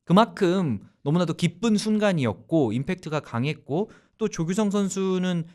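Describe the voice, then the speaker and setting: Lively, higher-voiced male radio host. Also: normal speed. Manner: animated, explains at length; loose conversational rambling. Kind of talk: casual sports talk.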